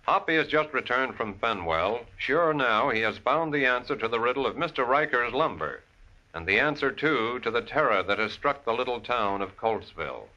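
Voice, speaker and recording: A man's voice: mid-range voice, expressive, normal speed, sharp tone. A man with an American accent giving scripted narration as an old-time radio drama announcer. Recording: muffled vintage broadcast, music behind.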